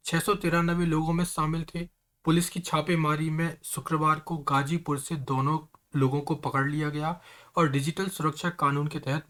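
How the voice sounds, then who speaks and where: A man's voice: fairly high pitch, fairly bright tone. A man hosting an online news explainer, talking straight to viewers in an earnest, conversational way. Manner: earnest, repeatedly addresses viewers as friends.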